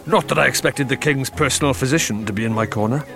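A man's voice: mid-range voice, fast, creaky, fairly bright tone, faint music behind. An actor playing a brash, working-class Welsh male politician with a Welsh accent, speaking in a wry, self-mocking and defiant way. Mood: wry, cocky.

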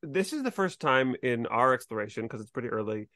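A man hosting a podcast in a casual discussion, with a measured, thoughtful and slightly hesitant way of phrasing things. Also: medium pitch, animated, bright, forceful tone, no room sound, clean studio sound. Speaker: podcast host.

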